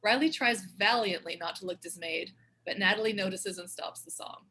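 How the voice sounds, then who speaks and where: A woman's voice: mid-range voice, moderate pace, pushed and bright, breathy edge. A woman reading stage directions as scripted narration for a screenplay table read.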